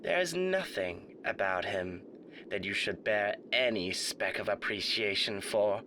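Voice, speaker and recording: A man's voice: fairly low pitch, expressive, a little slow, sharp tone, a little hiss or hum, poor audio. A man voicing a suave, menacing radio demon in a theatrical roleplay monologue, mock-polite, pompous and taunting. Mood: contemptuous.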